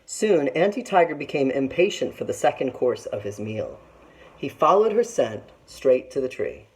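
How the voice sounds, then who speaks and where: A woman teacher reading a children's story aloud in an expressive narrating style. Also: extremely low pitch, moderate pace, poor audio.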